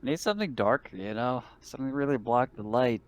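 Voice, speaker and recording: A man's voice: mid-range voice, expressive, a little fast, natural voice. A man playing an argumentative, sweary space officer haggling as a customer in an improvised tabletop roleplaying game. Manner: grumbling, profane, argumentative.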